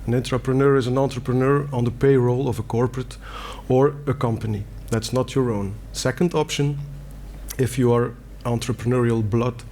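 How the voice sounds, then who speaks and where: A man's voice: mid-range voice, steady tone, normal speed, dark tone, slight background noise. A middle-aged man from Belgium, a native Dutch speaker with a Belgian Dutch accent, giving an earnest conference talk in plain words with fillers and restarts. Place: conference hall.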